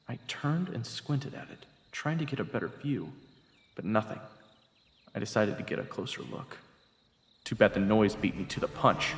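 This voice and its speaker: A man's voice: medium pitch, fairly bright tone. A man giving dramatic first-person scripted narration for an audio story, as its narrator. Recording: echoey long reverb, music behind. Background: background music.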